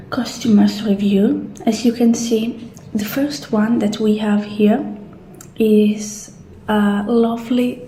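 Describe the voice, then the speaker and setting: A woman playing a calm, polite futuristic clinic receptionist in a soft-spoken, gentle, unhurried ASMR roleplay. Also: medium pitch, roomy sound, slight background noise, poor audio. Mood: softly reassuring. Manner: gentle, unhurried, reassuring customer-service talk.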